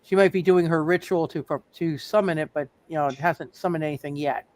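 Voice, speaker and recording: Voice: medium pitch, brisk, a little mellow, slight background noise. A game master narrating a tabletop roleplay casually, often repeating words and phrases while working out what happens.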